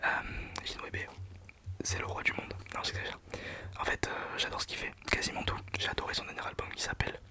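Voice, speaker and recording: A young man whispering a casual, self-mocking countdown of his favourite songs for his channel. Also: fairly quick. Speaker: channel host. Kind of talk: whispered ASMR music countdown.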